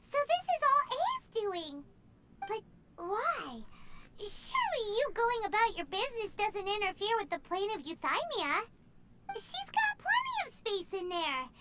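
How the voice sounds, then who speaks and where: Tiny chatty floating sidekick, super high squeaky voice, noisy game audio. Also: female voice, full character voice. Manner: speaks of herself in the third person. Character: tiny floating girlish companion, chatty and excitable. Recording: game audio under loud noise.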